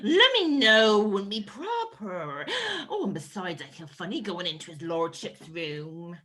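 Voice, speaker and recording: A woman playing a young, naive, excitable servant maid with rustic speech in a comic murder mystery. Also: on the low side, a little slow, a little hiss or hum, exaggerated voice. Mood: coy, nervous.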